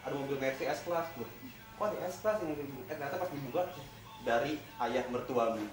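A man's voice: mid-range voice, a little fast, over loud music. A young man doing a stand-up comedy routine in an office, telling stories and slipping into character voices. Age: young adult. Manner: storytelling with acted-out character voices. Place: large open-plan office, indoors. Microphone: far camera. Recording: roomy office sound from far off. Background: office ambience and colleagues.

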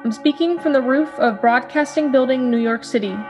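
A woman's voice: sits high, fairly quick, close to an everyday voice. A woman playing a grave, resolute news broadcaster in a radio drama, reporting formally. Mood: urgent, grave. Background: music and bells.

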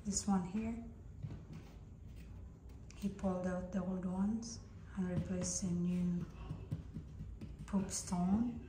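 A woman films a renovation with her phone, speaking very slowly and haltingly as she points things out and praises her husband's work. Her voice bounces around a bare, echoey room. Steady background noise sits under her words. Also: fairly low pitch. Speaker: vlogger showing home renovation. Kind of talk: casual home renovation walkthrough. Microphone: phone held while filming.

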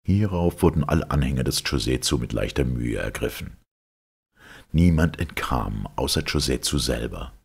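A man reads a legend in an extremely deep voice. His tone is heavily husky and gravelly, full of creak. He delivers the scripted tale with lively rises and falls, in a measured literary storytelling style. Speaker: audiobook reader.

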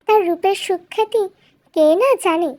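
A woman's voice: extremely high pitch, moderate pace, darkish tone, voice pushed up. A woman voicing a clever, cheeky little talking bird in a storybook cartoon, with a theatrical, coaxing and taunting manner. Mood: mocking, proud.

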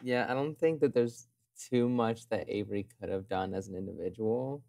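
A man with a moderately low voice talks through a point as a player in a tabletop role-playing game. His delivery is casual, conversational reasoning. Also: normal speed, a little mellow.

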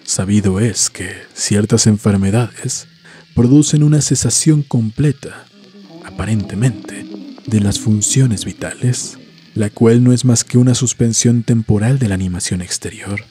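A man narrating a scripted horror story in a solemn, deliberate, dramatic way, letting phrases trail off softly. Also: fairly low pitch, breathy edge. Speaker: host and narrator of a story series. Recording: music behind the voice. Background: music.

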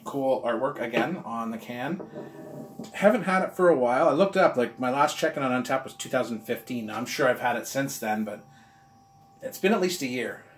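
Enthusiastic man in his forties, casual reviewer, recorded in a roomy, echoey space. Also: mid-range voice. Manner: enthusiastic, chatty, talks with his hands. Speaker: beer reviewer. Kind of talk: casual beer review vlog. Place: room with walls of beer bottles, indoors.

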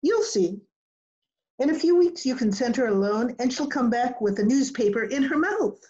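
A woman's voice: a little high, lively intonation, moderate pace, a little mellow, natural voice. A woman playing a cheerful, matter-of-fact vet in old-time radio comedy style over a video call. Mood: cheerfully proud. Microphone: video call computer mic. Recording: slightly dull video-call audio.

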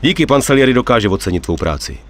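A man's voice: medium pitch, very animated, a little hiss or hum, natural voice. A man playing a reserved young mafia driver in a video game, understated and matter-of-fact. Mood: businesslike. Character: reserved young male mafia driver, reluctant but obedient. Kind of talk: dubbed video game cutscene acting.

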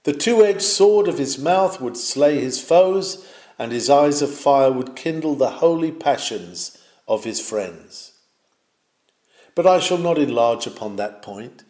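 Man, sermon reading, declamatory, fervent swells, mid pitch, echoey. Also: a little mellow. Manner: measured and declamatory, with swells of fervour. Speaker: reader of a historic sermon. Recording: roomy, echoing sound.

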